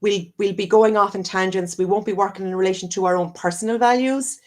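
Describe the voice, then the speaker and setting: A woman's voice: medium pitch, fairly even intonation, fast, a little mellow. An Irish woman, a wellness coach, talking earnestly and at length as a guest on a live-streamed video call interview, often circling back and correcting herself. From Ireland. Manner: earnest, rambling, self-correcting.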